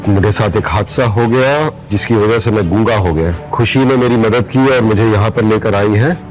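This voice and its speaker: A man's voice: fairly low pitch, moderate pace, soft background music, lightly acted. An actor playing a humble, earnest man in a Hindi audio drama.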